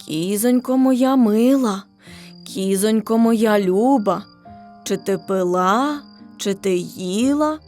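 An old peasant grandfather from a storybook, played by a woman, coaxes tenderly in a slow singsong. He draws each phrase out fondly, like verses of a folk rhyme. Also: mid-range voice, darkish tone, faint music behind, close to an everyday voice. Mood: tender, questioning. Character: doting old peasant grandfather questioning his beloved goat.

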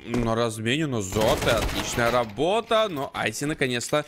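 A man's voice: mid-range voice, animated, moderate pace, background noise. A man doing live esports commentary, analysing the play as he calls it.

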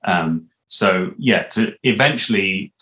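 A man in his thirties with a low-pitched voice speaks as a casual interviewee. His delivery is measured and understated, recorded indoors at home. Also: lively intonation, pushed and bright, rough recording. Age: 30s. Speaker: composer being interviewed. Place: small room at home, indoors.

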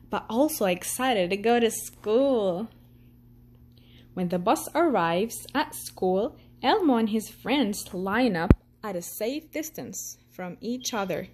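A woman reading a children's picture book aloud in a gentle storytelling manner. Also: on the high side, breathy edge. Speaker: storytime reader.

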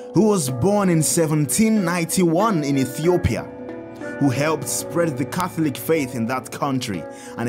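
Kindly priest for audio drama: instructive storyteller, animated melodic range, music behind. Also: male voice, a little high. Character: kindly priest, Father Tad, teaching children about saints. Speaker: priest character telling saints' stories.

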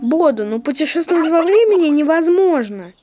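A woman's voice: high-pitched, expressive, normal speed, mellow, a little hiss or hum. A woman voicing a small, cute, earnest childlike creature in a children's cartoon. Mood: questioning.

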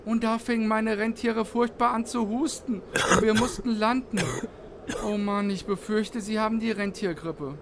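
A man's voice: sits high, steady tone, moderate pace, background noise. A man voicing a kindly, worried old Santa Claus in a children's audio play, sighing and lamenting.

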